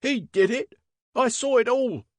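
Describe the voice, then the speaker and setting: A shrill, frightened Victorian manservant. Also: male voice, measured pace, no room sound, studio quality. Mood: frantic, accusing. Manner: shrill, sputtering. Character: fierce, frightened male Victorian servant in livery.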